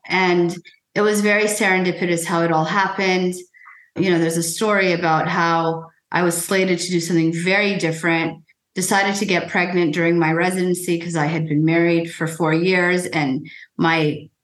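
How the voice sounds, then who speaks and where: An American woman giving reflective, thoughtful interview answers in conversation, phrased in measured pieces. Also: fairly low pitch, fairly bright tone. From United States. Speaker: podcast guest, physician. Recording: echoey, roomy sound.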